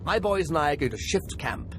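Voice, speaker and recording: A male actor playing an enthusiastic, scholarly military lieutenant in a video game, chatty, expressive and polite. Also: on the high side, brisk, noisy background, close to an everyday voice. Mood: eager, musing.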